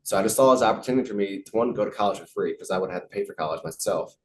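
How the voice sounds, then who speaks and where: A man's voice: on the low side, rapid-fire, slight background noise. A young American man, a professional soccer player, answering interview questions casually, with many fillers and repeated words. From United States.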